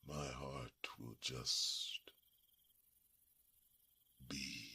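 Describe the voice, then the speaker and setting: An ancient dragon, with an extremely low, breathy and gravelly voice. He speaks very slowly in tender, halting phrases, weary with old grief. It is a hushed fantasy ASMR roleplay with a sharp, intense edge to every whisper. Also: steady tone, heavily acted. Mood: weary, sorrowful. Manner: slow, deliberate, halting phrases with long pauses.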